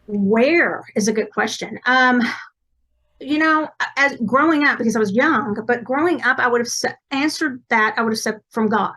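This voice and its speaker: Guest: chatty East Texas woman, somewhat high voice, rambling, self-correcting talk. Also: moderate pace, pushed and bright. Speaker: podcast guest, psychic medium. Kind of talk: casual interview chat.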